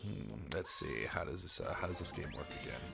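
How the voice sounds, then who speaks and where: A man's voice: rumbling low, expressive, a little fast, creaky, over music. A young man whose first language is Japanese, chatting casually and mocking himself while he plays a puzzle game for his channel. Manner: rambling, self-mocking, chatty. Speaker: gaming channel host. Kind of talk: casual gameplay commentary.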